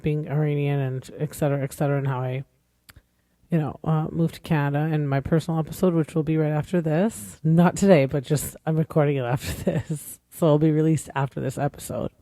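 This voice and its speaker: A woman in her forties talks straight into the mic in a loose, rambling podcast monologue. Her tone is earnest and conversational, with a warm, mellow voice. The sound is dry, with no room echo. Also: low-pitched, steady tone, brisk. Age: 40s. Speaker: podcast host.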